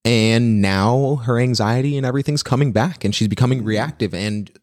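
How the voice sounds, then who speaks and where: A man co-hosting a dog-training podcast, reading listener questions and answering in a brisk, agreeable way. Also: medium pitch, a little mellow, dry recording, studio quality. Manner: brisk, agreeable, quick to affirm. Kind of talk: casual podcast chat.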